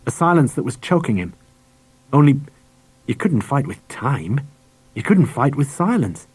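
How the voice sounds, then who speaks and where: Husky male storyteller, close and dry. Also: medium pitch, very animated, measured pace, a little mellow, dry recording, poor audio. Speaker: audiobook narrator. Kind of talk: scripted children's story narration. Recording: dry cassette audiobook transfer.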